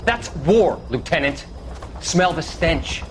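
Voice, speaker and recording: Haunted, intense soldier amid noise. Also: male voice, on the high side, expressive, takes it slowly. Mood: grim, haunted. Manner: intense, brooding. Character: haunted, defiant, war-crazed male soldier. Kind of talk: video game war cutscene acting. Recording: noisy battlefield behind the voice.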